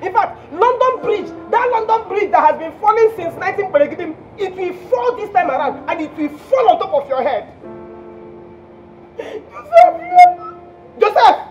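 A woman's voice: high-pitched, sing-song, takes it slowly, pauses often, a little mellow, faint music behind, full character voice. An actress with a Nigerian accent playing a hot-tempered, theatrical jilted girlfriend in a phone-call drama. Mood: furious, cursing. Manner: theatrical, exclamatory, repetitive. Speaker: actor in a comic relationship drama.

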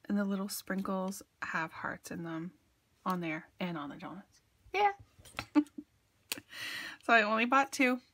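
A woman's voice: medium pitch, fairly bright tone. Woman, sniffly from allergies, gushing crafter showing off her haul, chatty, filler-heavy. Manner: chatty and gushing, many ums. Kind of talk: casual show-and-tell.

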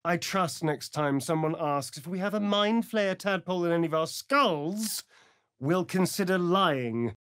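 A sardonic male vampire rogue from a fantasy game. His arch, seductive drawl is unhurried and swings theatrically up and down. The recording is dry and close. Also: high voice, expressive, fairly bright tone, clean tone, no room sound. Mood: wry, amused.

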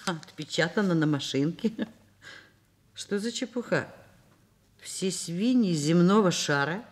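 A woman's voice: lowish voice, expressive, a little slow. An actress playing a frank, kindly middle-aged professor in a Soviet radio play, wry and conversational as she talks and narrates. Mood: musing.

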